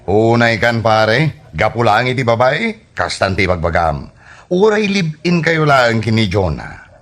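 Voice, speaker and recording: A man's voice: mid-range voice, very animated, a little slow, fairly bright tone. An actor with an Ilocano Filipino accent playing a frank, disapproving male buddy in a comedy radio drama. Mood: disbelieving, scolding.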